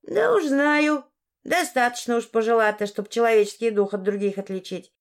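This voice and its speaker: A woman's voice: a little high, moderate pace, pitched above the performer's own voice, lightly acted. A woman voicing a grumpy, folksy old village crone in a fairy-tale audiobook, grumbling and snapping in rustic dialect. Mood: offended, snappy.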